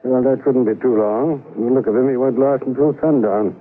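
An actor playing a cold, polished saloon-owning gambler in an old-time radio western, with formal, precise, challenging speech. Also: male voice, mid-range voice, brisk, slight background noise, lightly acted. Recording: tinny, narrow-band radio broadcast.

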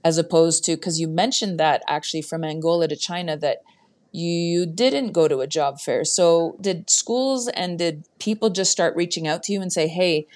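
Low-voiced woman podcast host. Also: moderate pace, a little mellow. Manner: enthusiastic, asks long, winding questions. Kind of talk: casual interview conversation.

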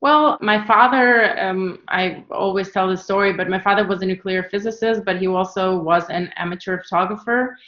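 A woman's voice: medium pitch, normal speed, hardly any pauses, reverberant, dull audio. A woman in her thirties from Ukraine, answering interview questions casually with plenty of fillers and restarts. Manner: relaxed, frequent fillers and restarts. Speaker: guest, travel photographer.

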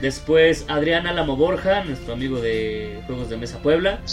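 A man hosting a casual talk, reading out listener questions in a relaxed, filler-filled way. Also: mid-range voice, normal speed, music in the background. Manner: relaxed, filler-heavy, conversational. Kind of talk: casual interview chat.

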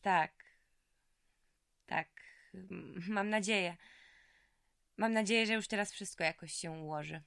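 A woman's voice: mid-range voice, a little slow, creaky, pauses often, fairly bright tone, smooth, no room sound, studio quality. An actress playing a weary, tough female prisoner in an audio drama, talking in colloquial, streetwise slang. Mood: wistful, reflective.

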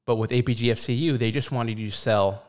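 A man with a medium-pitched voice speaks bluntly and opinionatedly in a relaxed conversation. He swears casually and argues his points with conviction. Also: fast. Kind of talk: casual chat.

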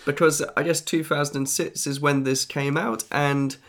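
A man in his thirties with a cold and a raspy voice, co-hosting a podcast in casual chat, thinking aloud as he goes. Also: a little high, flat delivery, moderate pace, fairly bright tone. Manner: chatty, thinks aloud with restarts. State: has a cold. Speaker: podcast co-host.

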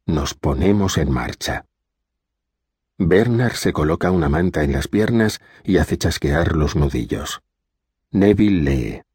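A man reading a literary audiobook in a measured, steady way. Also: low voice, husky, no echo, clean studio sound. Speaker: audiobook reader.

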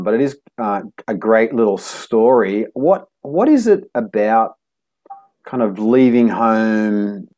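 A man's voice: fairly low pitch, a little mellow. A man hosting a podcast, speaking in an upbeat, effusive way as he introduces and interviews. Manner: effusive, piles up adjectives.

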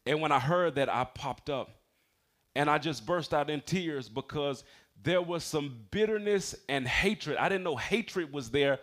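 A man's voice: on the high side, animated, measured pace, fairly bright tone. American man preaching, emphatic and conversational. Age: 40s. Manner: emphatic, conversational, calls for amens. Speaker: pastor. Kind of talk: sermon, personal testimony. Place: speaking to a congregation in a church building.

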